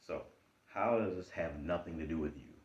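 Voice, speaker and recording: A man's voice: normal speed, echoey room, lo-fi sound. A man from Charleston with a Charleston Geechee accent, giving an emphatic, repetitive motivational talk. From Charleston, South Carolina. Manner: emphatic, repetitive, lively swings in pitch. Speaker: self-help speaker.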